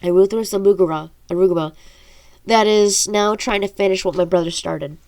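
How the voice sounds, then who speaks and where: A woman's voice: medium pitch, fairly quick, darkish tone. Congested woman reading her story aloud. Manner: informal, stumbling over words. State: congested nose from allergies. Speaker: amateur audiobook reader and author.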